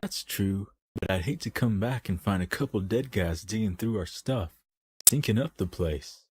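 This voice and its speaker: A man's voice: on the low side, lively intonation, normal speed, darkish tone, breathy edge. A man playing a wry, grumbling older camp guard in an amateur comic dub.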